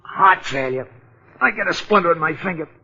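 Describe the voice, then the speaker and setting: An actor playing a nervous, grumbling detective's sidekick in a 1940s radio mystery, plain-spoken and complaining. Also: male voice, fairly high pitch, animated, normal speed, pushed and bright, husky, exaggerated voice. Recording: muffled old 1940s radio recording.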